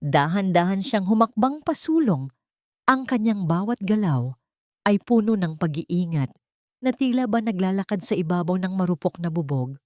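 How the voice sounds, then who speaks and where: A woman narrator reading a scripted dramatic story in a measured, solemn, literary manner. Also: mid-range voice, expressive, a little mellow, no echo, studio quality.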